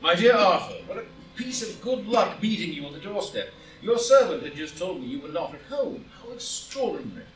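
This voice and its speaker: A cordial, effusive gentleman, acted by a man, high-pitched and lively in intonation. He sounds polite and theatrical in an echoey room at a play reading. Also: fairly quick. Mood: cordial, surprised. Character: cordial aristocratic gentleman greeting a friend. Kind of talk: stage comedy reading for audio drama. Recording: echoey, distant live reading with music.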